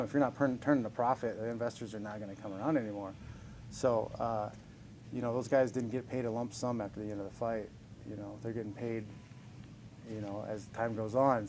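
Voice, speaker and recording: A man in his forties fielding questions from reporters, loose and upbeat, joking about his own age between answers. His voice sits at an everyday middle pitch, with a faint hiss under it. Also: darkish tone. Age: 40s. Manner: upbeat, chuckling, self-deprecating. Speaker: professional fighter being interviewed. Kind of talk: casual press scrum answers.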